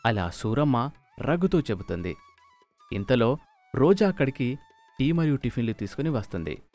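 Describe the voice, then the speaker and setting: A man's voice: medium pitch, very animated, moderate pace, a little mellow. A man reading scripted narration for a moral story. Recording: music behind the voice.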